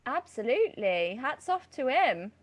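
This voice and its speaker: A woman's voice: high-pitched, sing-song, unhurried. A woman speaking casually as the host of an interview chat. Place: remote online video chat.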